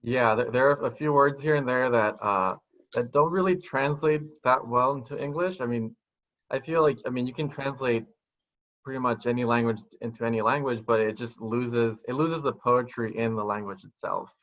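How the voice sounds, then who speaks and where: Soft-spoken man on a dull video call. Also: medium pitch, moderate pace. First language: Spanish. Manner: soft-spoken, thoughtful, many restarts. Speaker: guest poet. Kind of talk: casual interview answers. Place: small home room, indoors. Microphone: video call webcam.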